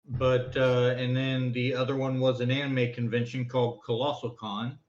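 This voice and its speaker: A man's voice: mid-range voice, flat delivery, moderate pace, slight background noise. Guy on a voice call, laid back, roomy echo and muffled audio. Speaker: team player guest. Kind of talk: casual chat. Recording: echoey, dull voice-call audio.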